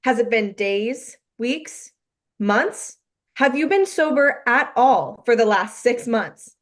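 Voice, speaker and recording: Woman playing a high-voiced, betrayed young woman. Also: expressive, takes it slowly, slight background noise. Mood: betrayed, angry. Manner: emphatic, repeating herself, accusing. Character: hurt, stern young woman confronting a liar. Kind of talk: staged play reading.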